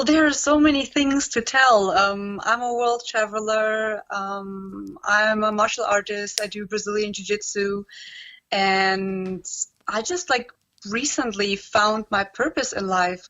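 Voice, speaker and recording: A woman's voice: medium pitch, steady tone, slow pace, a bit bright, lo-fi sound. A young woman who grew up in Germany, speaking English as a guest coach in a casual interview, earnest and full of "um" and "like". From born in Romania, raised in Germany. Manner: earnest, many "um" and "like" fillers. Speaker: guest, personal development coach.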